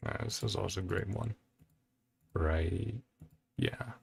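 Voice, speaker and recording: Slow, gravelly man musing aloud. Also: deep voice, a little hiss or hum. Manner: musing, hesitant, thinking aloud in short fragments. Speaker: host of a reaction and ranking video. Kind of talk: casual reaction commentary. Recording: music playing behind the voice. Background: game trailer music.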